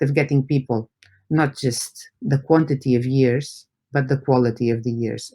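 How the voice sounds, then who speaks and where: A man from Portugal, a native Portuguese speaker, lecturing in English as a university professor, explaining haltingly with repeated words and rhetorical questions. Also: mid-range voice, darkish tone. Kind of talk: academic lecture to an audience.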